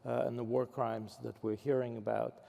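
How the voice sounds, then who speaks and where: Very gravelly mellow older man moderating. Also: medium pitch, flat delivery, moderate pace. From Odessa, Ukraine. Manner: hesitant, stop-and-start phrasing. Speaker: interviewer, moderator. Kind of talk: on-stage interview. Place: large hall at a summit. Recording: reverberant hall.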